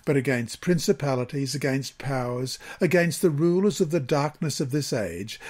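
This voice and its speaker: A man from Australia reads a devotional study aloud. His voice sits at a middle pitch with a husky edge. He phrases deliberately, pausing between short groups of words as he works through the prepared text. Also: a little mellow. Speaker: Bible lesson reader. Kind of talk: scripted devotional reading.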